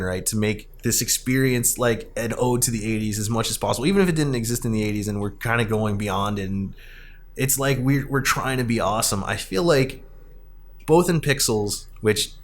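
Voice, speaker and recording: Male podcast host, mid-range voice, opinionated, loose rambling conversational delivery about films. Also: mid-range voice. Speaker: podcast host, arcade president. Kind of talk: casual film discussion.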